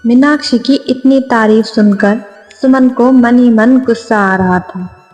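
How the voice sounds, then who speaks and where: A woman's voice: on the high side, measured pace, a little mellow. A woman narrating a scripted moral story for a cartoon. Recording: long reverb, music behind.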